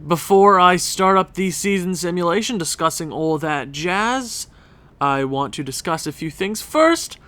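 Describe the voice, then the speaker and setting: A man's voice: high voice, animated, a little slow, bright, forceful tone, clean tone. Congested young man chatting over gameplay. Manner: chatty, rambling, self-correcting. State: stuffed up, congested. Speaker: gaming YouTuber. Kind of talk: casual gameplay commentary.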